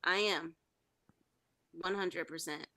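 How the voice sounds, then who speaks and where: A woman's voice: fairly low pitch, fairly even intonation, takes it slowly, bright, forceful tone, no echo. A woman chatting casually as a guest on a radio talk show. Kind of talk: casual chat.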